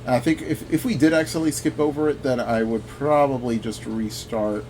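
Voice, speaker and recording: A man gives casual commentary over a video game in a mid-pitched voice. He rambles and thinks out loud as he goes. A clear steady background noise runs under his voice. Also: brisk, low-quality recording. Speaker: gaming video host.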